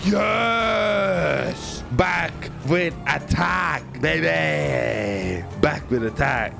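Male gamer, very lively swooping pitch, drawn-out delivery, playful trash talk, swearing, music underneath. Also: gravelly. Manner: playful taunting, exclaiming, swearing. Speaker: gaming YouTuber playing online roleplay. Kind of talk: excited gameplay commentary. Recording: music behind the voice. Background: music and game vehicle sounds.

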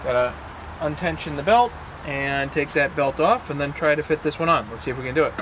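A man's voice: fairly high pitch, expressive, brisk. A man casually narrating a how-to while he works outdoors, talking himself through each step. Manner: chatty, mutters step by step. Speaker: DIY sawmill owner. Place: outdoors at a sawmill. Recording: loud outdoor noise.

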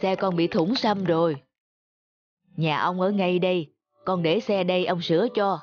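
A woman's voice: on the low side, steady tone, unhurried, highs cut off, close to an everyday voice. A woman voicing a kindly, helpful elderly grandfather in a cartoon story.

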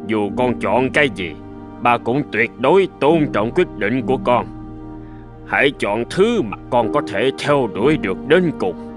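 A man acts out a middle-aged father in a moral cartoon. He is stern yet fair, measured and earnest, with a wide-moving, mid-pitched voice over faint music. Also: animated, natural voice. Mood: firm, advising. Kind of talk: animated moral tale dubbing. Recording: music behind the voice.

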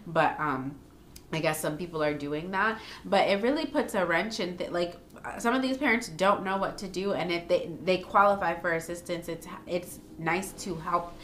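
A woman's voice: lowish voice, moderate pace, fairly bright tone. A young woman hosting a casual podcast, talking frankly with many fillers. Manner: chatty, frank, with frequent fillers. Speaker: podcast host, daycare owner. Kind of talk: casual chat. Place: small home room.

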